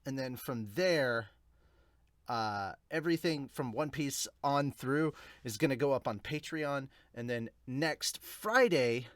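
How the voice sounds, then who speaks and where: A man's voice: mid-range voice, slow, dry recording. Casual male podcast host, close dry sound. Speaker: host of a manga reaction podcast. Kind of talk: casual chat to viewers and manga read-aloud with commentary.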